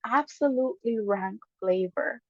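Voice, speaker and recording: Guyanese woman, lively storyteller. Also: on the high side, no echo. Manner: lively, laughs often, quick bursts when excited. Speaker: guest. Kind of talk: casual storytelling chat. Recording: dull lo-fi dry sound.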